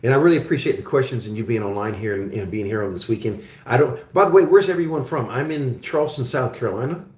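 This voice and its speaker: A man's voice: mid-range voice, lively intonation, fast talker, few pauses, a little mellow, reverberant. A man hosting a casual livestream, chatting and reading viewer questions aloud as they come in. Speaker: livestream host. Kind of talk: casual live Q&A chat.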